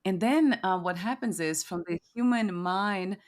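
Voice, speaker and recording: A woman's voice: mid-range voice, a little slow. A woman hosting a reflective conversational interview, musing aloud and often searching for her words. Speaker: podcast host interviewing a guest.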